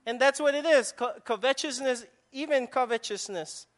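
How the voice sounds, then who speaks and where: A man's voice: very high voice, normal speed. A man with a Filipino accent preaching a sermon, emphatic, often repeating and restarting his words. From Philippines. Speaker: pastor.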